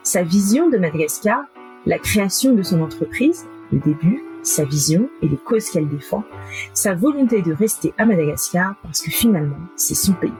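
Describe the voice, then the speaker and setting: A woman's voice: lowish voice, expressive, normal speed, mellow, soft background music, low-quality recording. A woman hosting a podcast, upbeat and friendly as she introduces her guest and chats with her. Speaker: podcast host. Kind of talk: podcast hosting, scripted intro then casual chat.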